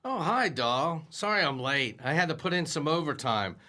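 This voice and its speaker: A man's voice: a little high, big pitch swings, normal speed, noisy background. An actor playing a bumbling, blustery working-class husband and father in a comic radio drama, with a fumbling, hesitant way of talking. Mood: blustering.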